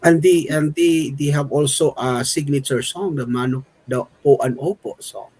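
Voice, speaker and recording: A man's voice: fairly high pitch, moderate pace, a little mellow, husky, low-quality recording. A man who is a chef co-hosting a radio interview, chatty and jovial, laughing and rambling as he talks.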